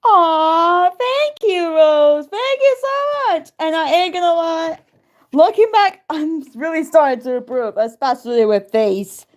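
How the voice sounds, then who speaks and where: A woman from Turkey with a Turkish accent chats on her live stream. Her voice sits very high, and her pitch swings a lot. She talks slowly and stays excitable. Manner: excitable, greets chat viewers by name. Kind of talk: casual live-stream chat.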